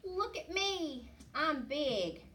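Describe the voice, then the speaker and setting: A woman's voice: high voice, big pitch swings, extremely slow, a bit bright, slight background noise, voice pushed up, heavily acted. A woman voicing a small, headstrong, boastful young male wolf pup in a children's picture book.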